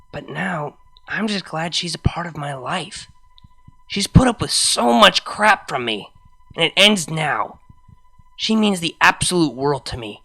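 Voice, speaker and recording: A reader as young pony mare DJ, anxious, lovestruck, self-doubting, creaky bright voice, introspective storybook monologue. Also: mid-range voice. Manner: introspective, hesitant, emotional monologue. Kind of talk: first-person dramatic fan fiction reading.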